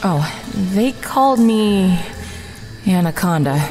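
A woman's voice: mid-range voice, animated, takes it slowly, dark tone. Woman acting a lonely snake woman in echoing hall. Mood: wistful. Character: female snake woman, lonely, childlike, menacing captor. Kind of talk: dramatized comic audio drama. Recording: reverberant hall, music behind.

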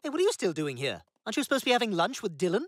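A man voicing a precocious, snide baby boy with a pompous, knowing manner in an animated sitcom. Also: high voice, racing, music in the background.

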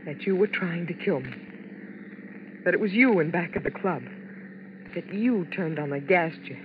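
An actress playing a frightened, clinging showgirl wife in a 1950s radio drama, halting and pleading. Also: on the low side, lively intonation, noisy background, close to an everyday voice. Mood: anxious pleading. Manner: pleading, halting, repeating herself. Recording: dull 1950s radio broadcast with music.

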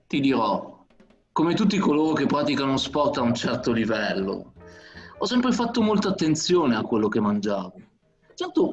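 An actor playing a middle-aged smooth talker who puts on airs to impress, in a comic radio soap. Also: male voice, a little high, dull audio. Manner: hesitant, pompous wordy phrasing. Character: smooth-talking man feigning vegetarian sympathy to impress. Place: home kitchen. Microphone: video call.

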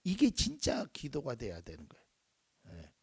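A male pastor preaching a sermon in a lively way, acting out little quoted voices and dropping at times to a near whisper. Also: mid-range voice, normal speed, vocal fry, slightly rough, no room sound, clean studio sound.

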